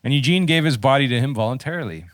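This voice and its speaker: A man's voice: mid-range voice, animated, rapid-fire, no echo. A man co-hosting a casual podcast, reading aloud and tossing in jokey interjections. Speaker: podcast co-host.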